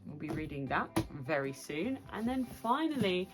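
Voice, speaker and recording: A young British woman chatting casually and excitably to her viewers as a book vlogger. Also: fairly low pitch, normal speed, over music. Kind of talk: casual chatty vlog to camera.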